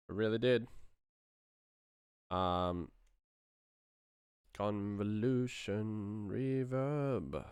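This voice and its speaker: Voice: mid-range voice, clear, resonant tone, dry recording, studio quality. A sound designer talking casually through work on screen, musing aloud and muttering playfully. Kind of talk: casual screen-share workflow commentary, thinking aloud.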